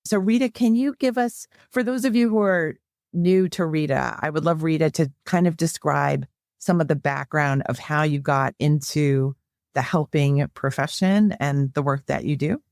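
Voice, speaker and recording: A woman psychologist hosting a podcast, introducing her guest in a measured, affirming way. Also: low voice, darkish tone, no room sound, studio quality.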